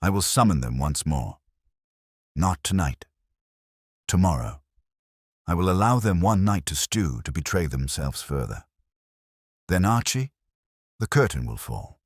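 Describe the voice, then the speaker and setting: A man voicing a ponderous, brilliant detective who speaks in measured, formal pronouncements, in an audiobook. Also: low-pitched, sing-song, slightly rough, dry recording, clean studio sound, heavily acted. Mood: stern, deliberate. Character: ponderous, brilliant, imperious male detective, coolly judgmental. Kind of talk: audiobook character dialogue.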